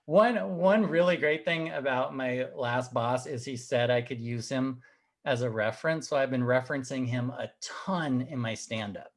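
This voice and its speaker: A man's voice: medium pitch, normal speed. A young man doing a stand-up set over a video call, firing off punchy, self-deprecating one-liners. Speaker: comedian and host.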